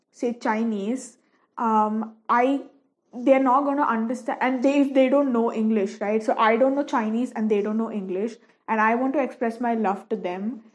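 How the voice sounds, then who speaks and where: Chatty young woman, a bit high. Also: a little mellow. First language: Malayalam. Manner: chatty, many fillers and restarts. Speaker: painting vlogger. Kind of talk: casual chat over a painting session.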